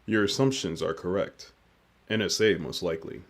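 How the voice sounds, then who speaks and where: Low, formal, commanding spymaster. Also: male voice, normal speed. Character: masked, guarded man commanding a covert mission. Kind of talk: scripted audio drama acting.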